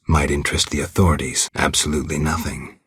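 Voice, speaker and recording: Game lead: cynical monster-hunting mutant man, rock-bottom pitch, very gravelly, deadpan and curt. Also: quick pace, no room sound, exaggerated voice. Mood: terse, deadpan. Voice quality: gravelly, husky. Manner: terse, deadpan, dry. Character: gruff, cynical mutant monster hunter, adult man, coolly sardonic. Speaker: video game protagonist. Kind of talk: video game character dialogue.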